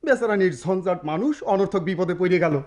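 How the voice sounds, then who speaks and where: An actor playing an anxious, gossipy villager with a rural Bengali dialect in a radio drama. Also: male voice, high voice, lively intonation, fast, darkish tone. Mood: gossiping worry.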